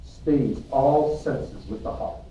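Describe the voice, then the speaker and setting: A man's voice: mid-range voice, takes it slowly, dark tone, close to an everyday voice. A man playing a kindly, moralising friar mentor in an amateur Shakespeare reading. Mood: musing. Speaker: English teacher. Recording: roomy, distant, dull, faint noise.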